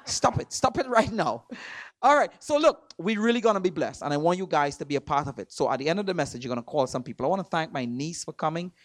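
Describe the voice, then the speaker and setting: Emphatic Trinidadian preacher, dry sound. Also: male voice, fairly high pitch, lively intonation, quick pace, no room sound. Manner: emphatic, repeats words, swings loud and soft. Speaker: guest preacher. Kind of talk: sermon. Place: church sanctuary.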